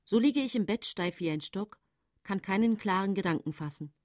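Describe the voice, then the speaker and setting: A woman's voice: mid-range voice, expressive, normal speed, a little mellow, no echo, studio quality, lightly acted. An actress playing a composed, matter-of-fact woman poisoner who recounts her case in sober radio play narration. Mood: numb, factual. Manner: sober, controlled storytelling.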